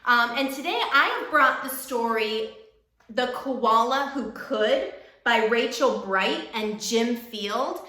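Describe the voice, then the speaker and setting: Female storybook reader, teacher type. Very bright, fairly high voice, encouraging, in an echoey room. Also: animated, slow. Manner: encouraging, addressing children directly. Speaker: teacher reading a picture book aloud. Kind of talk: expressive children's storybook read-aloud.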